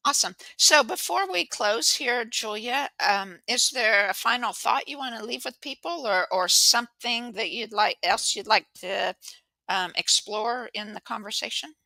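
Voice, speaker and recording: A woman hosts a podcast over a video call, her voice bright and crisp. She is upbeat and chatty, with plenty of likes, ums and restarts. The sound is dry and close. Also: on the high side, normal speed, dry recording, poor audio. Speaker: podcast host. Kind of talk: casual interview chat.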